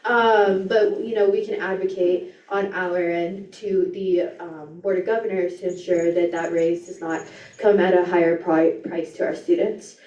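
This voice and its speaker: A woman's voice: fairly low pitch, steady tone, a little slow, a little mellow. A young woman giving a legislative report at a student meeting, casual and reading from notes with ums and small slips. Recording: echoey room, distant mic, dull sound.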